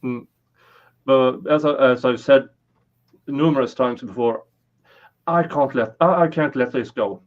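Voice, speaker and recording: Slow-talking Swedish man hosting a livestream. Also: medium pitch. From Sweden. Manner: hesitant, many fillers and restarts. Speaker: livestream host. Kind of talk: casual livestream chat. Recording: slightly dull lo-fi audio.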